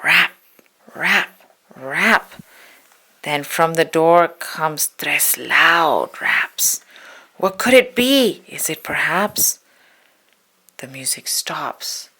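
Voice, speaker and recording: A woman reading a spooky children's poem aloud in a dramatic, hushed storytelling manner, stretching words and dropping into whispers. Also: sits low, lively intonation, drawn-out words, pauses often, slightly rough. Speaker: storybook reader.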